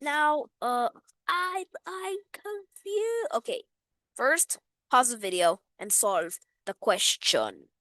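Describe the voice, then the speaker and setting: A woman reading multiple-choice questions for a video lesson, slow and deliberate. Her voice is fairly high and moves up and down a lot. She talks in a chatty, instructing way. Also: big pitch swings, fairly bright tone, dry recording, clean studio sound. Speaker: teacher.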